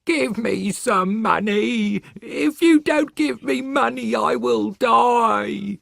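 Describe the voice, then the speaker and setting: A man voicing a desperate, starving old beggar man in a fairy tale, creaky and pleading. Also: extremely high pitch, takes it slowly, fairly bright tone, no room sound, studio quality, pitched above the performer's own voice, full character voice. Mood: begging. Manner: pleading, insistent.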